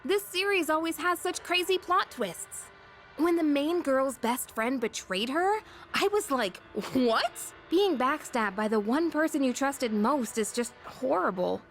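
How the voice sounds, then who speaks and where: An actress voicing a friendly, expressive, chatty teenage girl with an American accent in a video game. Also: high voice, unhurried, heavily acted. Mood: chatty, reflective. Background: game music.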